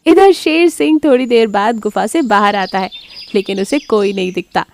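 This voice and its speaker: A woman's voice: medium pitch, expressive, normal speed, darkish tone, slight background noise. A woman giving scripted narration of a children's moral story.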